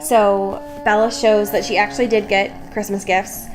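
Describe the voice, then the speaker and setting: A woman's voice: medium pitch, flat delivery, moderate pace, music in the background, low-quality recording. A woman podcast host casually recapping a book in a sardonic, informal, sweary manner.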